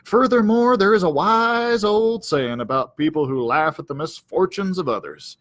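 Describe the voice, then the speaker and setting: Man playing a pompous, aggrieved ranch cowdog, higher-pitched. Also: normal speed, fairly bright tone, no room sound. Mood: bitter, self-righteous. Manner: pompous, melodramatic, wordy. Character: self-important, aggrieved male ranch cowdog telling his tale.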